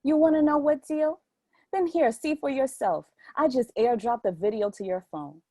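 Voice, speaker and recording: A woman's voice: high voice, moderate pace, dark tone, no room sound, clean studio sound. Brash young woman, acted out by a woman. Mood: scornful. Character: brash sassy young woman, defiant and scornful. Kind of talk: readers theatre play reading.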